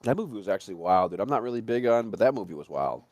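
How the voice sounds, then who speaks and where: A man's voice: lowish voice, sing-song, very fast, darkish tone, no room sound, clean studio sound. A middle-aged man chatting casually, rambling along with slang and swearing. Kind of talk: casual chat.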